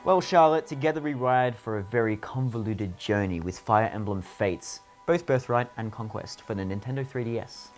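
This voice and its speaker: A man's voice: fairly low pitch, moderate pace, hardly any pauses, music in the background. A man reviewing a video game on a show in a conversational commentary style.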